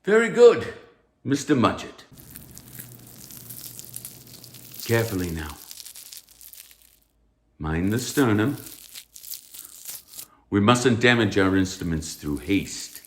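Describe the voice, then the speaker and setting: Man playing stern professor, low voice, swooping intonation, formal lecturing, theatrical, roomy echo. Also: very animated, slow pace, long pauses, slight background noise. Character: stern, pompous male anatomy professor, easily affronted. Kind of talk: theatrical table read of a stage musical.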